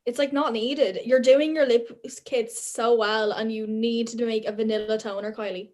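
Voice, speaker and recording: A young woman hosts a casual student podcast. Her voice is somewhat high and she talks in an informal, conversational way. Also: steady tone, moderate pace, a little mellow. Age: young adult.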